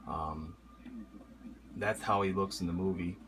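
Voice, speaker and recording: A man's voice: low voice, measured pace, background noise. A man casually showing off a collectible horror mask in a vlog, talking loosely with lots of ums and uhs. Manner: relaxed, many filler ums and uhs. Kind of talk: casual show-and-tell vlog. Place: indoor room with horror posters. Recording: music playing clearly behind.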